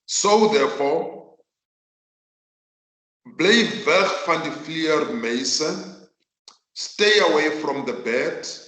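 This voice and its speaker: A South African man whose mother tongue is Northern Sotho, teaching a virtual class in an emphatic, deliberate way and repeating phrases for effect. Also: on the high side, expressive, pushed and bright. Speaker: accounting teacher. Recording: echoey room.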